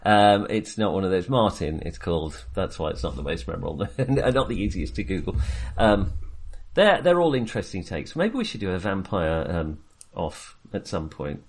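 A man with a lowish voice that swings widely in pitch. He co-hosts a casual film discussion, feeling his way through each thought with a hesitant, halting delivery. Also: very animated, husky. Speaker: podcast co-host.

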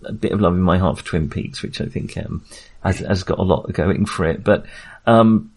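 A man's voice: sits low, normal speed, a little mellow. A man talking casually as a film podcast host, in a hesitant, rambling but enthusiastic way.

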